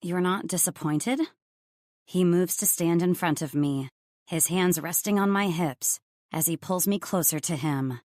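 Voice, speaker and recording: A woman's voice: fairly low pitch, measured pace, darkish tone, no echo, clean studio sound. A woman narrating a romance audiobook in the first person.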